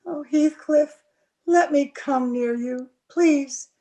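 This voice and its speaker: A woman plays an unhappy, lovelorn young bride in a gothic radio play. Her voice is high and her pace is slow. She is pleading and emotional, in a theatrical old radio manner. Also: warm tone. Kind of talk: old-time radio acting.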